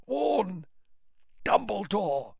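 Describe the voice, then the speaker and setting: A frantic, unhinged old official. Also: male voice, sits high, big pitch swings, extremely slow, vocal fry, darkish tone, husky, no room sound, studio quality, pitched above the performer's own voice, exaggerated voice. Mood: gasping, desperate. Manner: broken, gasping, rambling speech. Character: deranged, exhausted older male official.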